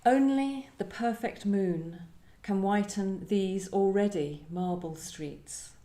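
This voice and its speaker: A woman with a mid-pitched voice in a roomy, echoing space. She speaks as a poet at a literary talk, thoughtful and halting as she feels her way toward the right word. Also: warm tone. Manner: thoughtful, halting, searching for words. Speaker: guest poet discussing and reading poems. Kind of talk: literary discussion and poetry reading. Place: echoey indoor room at a live event. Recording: echoey room recording.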